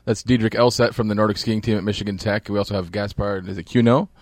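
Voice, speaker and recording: A man's voice: on the low side, steady tone, quick pace, darkish tone, no room sound. A man hosting a casual sports interview, asking questions in a rambling, hesitant way. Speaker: host interviewer.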